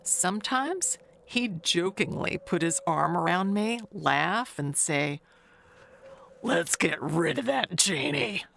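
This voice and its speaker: Woman acting a creaky, low-voiced gossipy spinster. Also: lively intonation, a little hiss or hum, exaggerated voice. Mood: catty, confiding. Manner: confiding, chatty, with pointed pauses and hushed asides. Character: gossipy, wistful, never-married older woman neighbor, insinuating. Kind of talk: dramatic monologue in an audio mystery tale.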